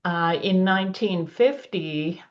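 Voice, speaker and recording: Slow older woman. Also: on the low side. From Australia. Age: 70s. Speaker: panelist. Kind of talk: personal storytelling on a panel. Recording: dull lo-fi recording.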